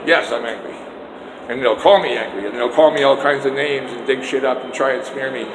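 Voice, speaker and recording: A loud older man rants with emphatic indignation about politics, recording himself on a phone held out on a selfie stick. His voice sits at a middle pitch and rings through an echoey hallway with a long tail of reverb. Steady noise hums behind him. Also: brisk. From Canada. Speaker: vlogger. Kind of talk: rambling political vlog. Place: outdoors then indoor stairs and hallway. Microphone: handheld phone on a selfie stick.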